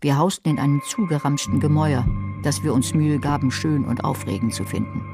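A woman's voice: sits low, a little fast, music in the background. An actress playing a nervy, sardonic woman who schemes and narrates her own crime in a German radio drama, with dry, wry delivery. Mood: reflective. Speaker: narrator and lead character.

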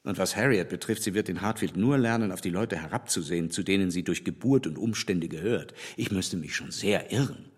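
An actor playing a frank, critical gentleman in a literary radio play, opinionated and wry in a formal way. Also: low-pitched, a little fast, hardly any pauses, slightly rough. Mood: disapproving, critical. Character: frank, critical English gentleman, dryly teasing.

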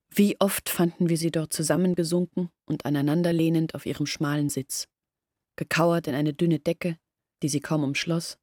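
An actress voicing a young village woman who quietly tells of her family's ruin in a radio play. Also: low voice, no room sound, studio quality. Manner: quiet, sorrowful storytelling. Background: faint music.

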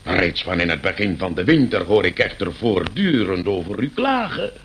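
An actor playing a stern, condescending landlord in a radio play, lecturing with pompous mock courtesy. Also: male voice, low voice, big pitch swings, normal speed, a bit bright, a little hiss or hum. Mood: stern, indignant. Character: stern, condescending landlord scolding his eccentric tenant.

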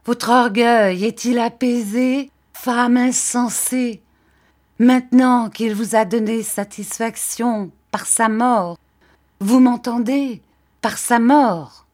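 A female narrator plays a scarred, embittered woman consumed by vengeful passion in a classic novel. She accuses and commands imperiously, hammering out her reproaches at a slow, deliberate pace. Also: on the low side, a little mellow. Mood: scornful fury. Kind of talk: dramatic audiobook acting of a classic novel.

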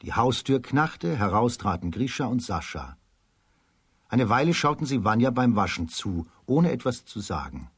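A man narrating a children's radio drama as a scripted story, in a measured, literary storytelling manner. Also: mid-range voice, sing-song, husky, no echo, studio quality.

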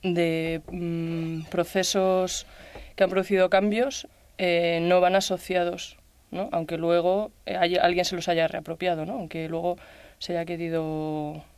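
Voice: mid-range voice, level tone, unhurried, a little mellow, clear, resonant tone. A guest on a radio round table, thinking aloud in a reflective, hesitant way with many fillers. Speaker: discussion guest. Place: radio studio.

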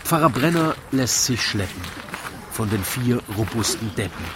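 A man's voice: medium pitch, expressive, moderate pace, noisy background. A man narrating a comic rhymed story in a wry, theatrical storytelling manner. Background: music.

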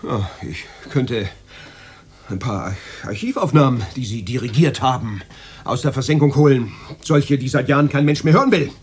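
An actor playing an anxious, bitter, self-pitying male radio official with a husky, plaintive, complaining delivery in a German radio drama. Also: medium pitch, expressive, normal speed. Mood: bitter, sardonic.

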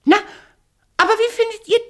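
A woman's voice: very high voice, moderate pace. An actress playing a vain, scheming and imperious evil queen in a theatrical fairy-tale radio play. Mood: sly, wheedling. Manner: wheedling, imperious, dramatic.

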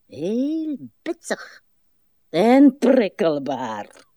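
An actress playing a weary, morbid, rambling old woman in a radio play, with slow, plaintive delivery. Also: medium pitch, huge pitch swings, dark tone, dry recording, clean studio sound, exaggerated voice. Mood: reminiscing, rambling. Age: older.

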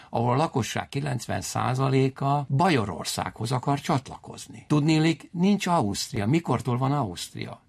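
A man's voice: medium pitch, creaky, slightly rough. A man speaking as a historian guest, explaining in a digressive, lecturing way and often rephrasing himself. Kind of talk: explanatory interview talk.

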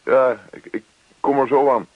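An actor playing a puzzled, sardonic husband in a radio play, hesitant and given to dry muttering. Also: male voice, mid-range voice, fairly even intonation, normal speed, husky, dry recording, natural voice. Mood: puzzled, wary. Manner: hesitant, dryly sarcastic, muttering. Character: puzzled, sardonic husband, youngish bank man. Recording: dull, lo-fi old radio play recording.

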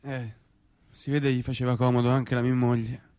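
A man acting a doleful small-town widower in a stage drama. His voice is mid-pitched and very flat, with a resigned, deadpan delivery. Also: moderate pace, clean tone, natural voice. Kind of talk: naturalistic stage dialogue.

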